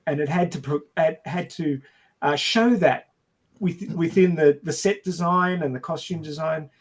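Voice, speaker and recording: A man's voice: fairly high pitch, moderate pace. A man in a casual film discussion, telling behind-the-scenes anecdotes and acting out quotes as he goes. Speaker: podcast panelist.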